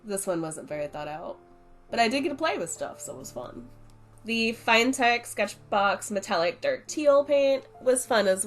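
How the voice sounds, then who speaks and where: A young woman, congested from a cold, chatting casually as she talks through her art supplies. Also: mid-range voice. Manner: chatty, relaxed, explaining as she goes. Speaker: art channel host. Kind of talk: casual art review voice-over.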